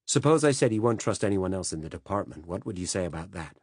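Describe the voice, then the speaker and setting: A man's voice: low voice, very animated, fast talker, darkish tone, slightly rough, dry recording, studio quality, exaggerated voice. A man voicing a blunt, sardonic American senior intelligence boss. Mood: probing. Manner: brusque, sardonic.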